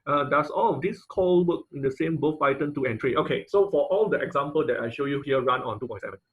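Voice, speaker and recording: Halting male online class instructor. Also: fairly high pitch, lo-fi sound. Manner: halting, searching for words, repeats phrases. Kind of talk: informal online lesson with question-and-answer. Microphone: online video-call audio.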